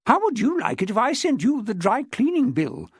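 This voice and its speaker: A man's voice: high voice, animated, normal speed, vocal fry, no echo, studio quality, pitched above the performer's own voice, exaggerated voice. A man doing an imperious, indignant older lady.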